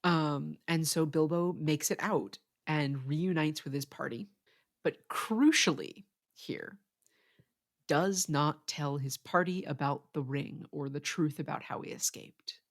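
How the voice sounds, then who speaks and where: Podcast co-host, measured explainer, slow pace, creaky voice, casual literary talk. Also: medium pitch.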